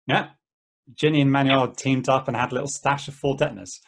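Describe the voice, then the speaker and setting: A man playing an easygoing game player in an amateur table read, talking in a halting, conversational way. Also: mid-range voice, steady tone, gravelly. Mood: reminiscing. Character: easygoing, rambling male player of an endless treasure-hunt game.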